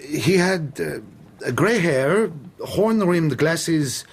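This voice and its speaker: An actor playing a nervous, evasive French airline booking clerk in a radio drama, hesitant and deferential. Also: male voice, a little high, animated, drawn-out words, breathy edge, exaggerated voice. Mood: nervous, defensive.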